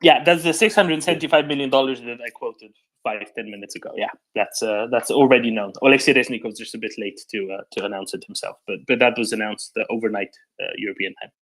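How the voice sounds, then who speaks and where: A man's voice: mid-range voice, steady tone, quick pace, a bit bright. A man hosting a live news discussion, explaining developments off the cuff and often checking in with a "right?". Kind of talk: unscripted news commentary and discussion.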